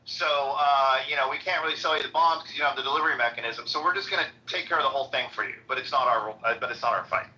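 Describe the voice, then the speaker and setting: A man with a high, nasal voice talking casually, stumbling over restarts and turning blunt when he gets heated. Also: very fast, few pauses, pushed and bright. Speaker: room host. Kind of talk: casual conversation. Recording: dull lo-fi audio.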